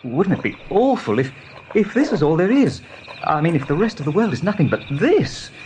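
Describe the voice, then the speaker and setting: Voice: mid-range voice, very animated, moderate pace, darkish tone, slight background noise, lightly acted. An actor playing a timid, wide-eyed little young rabbit in a radio play. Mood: fretful. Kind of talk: radio drama acting.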